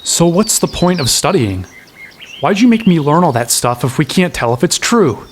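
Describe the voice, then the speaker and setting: An actor playing an inquisitive, cheeky young apprentice in an audio drama, questioning and impatient. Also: male voice, a little high, sing-song, normal speed, slight background noise. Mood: curious, frustrated.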